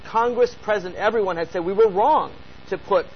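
A man with a high voice hosts a call-in radio show and fires off questions at a brisk clip. He pushes hard against the other side. The broadcast sound is dull and lo-fi, with a faint hiss underneath. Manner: pressing, rapid questioning. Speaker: radio talk show host.

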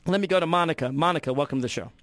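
Higher-pitched American man hosting talk radio. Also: racing, a bit bright. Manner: emphatic, rhetorical, conversational. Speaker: radio call-in show host. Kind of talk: political commentary on a call-in show.

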